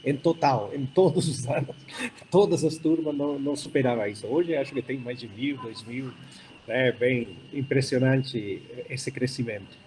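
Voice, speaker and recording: Older Chilean-accented man, mellow voice. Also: medium pitch, a little hiss or hum, poor audio. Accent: Chilean Spanish. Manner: unhurried, many fillers and restarts. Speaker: startup founder panelist. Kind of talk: hybrid panel talk.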